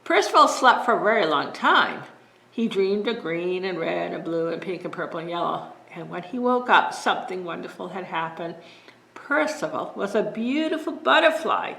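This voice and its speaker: Gentle woman reading a bedtime story. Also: on the low side, big pitch swings, normal speed, vocal fry. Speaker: children's librarian reading stories. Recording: echoey room.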